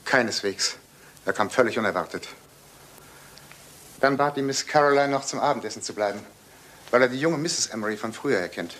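Actor as a stiff, discreet manservant, medium-pitched. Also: male voice, brisk, pauses often, a bit bright, lightly acted. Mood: discreet, grave. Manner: stiff, deferential, formal. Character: formal, discreet manservant of an English household. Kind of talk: German radio crime drama acting. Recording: faintly noisy old radio play recording.

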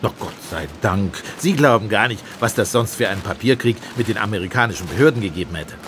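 An actor playing a brisk, self-important Scotland Yard inspector, formal and officious, in a German radio drama. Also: male voice, on the low side, animated, a bit bright, slight background noise. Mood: dismissive.

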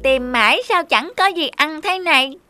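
A woman's voice: high-pitched, animated, normal speed, music in the background, voice pushed up. A woman voicing a greedy, lazy little male cartoon mouse who exclaims with self-satisfaction. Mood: hungry, dizzy.

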